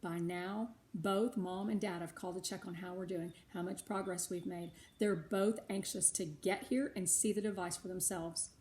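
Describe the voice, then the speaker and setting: A middle-aged or older woman reading a storybook aloud to schoolchildren, animated, with chatty asides of her own. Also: lowish voice, normal speed, few pauses. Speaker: read-aloud host for schoolchildren.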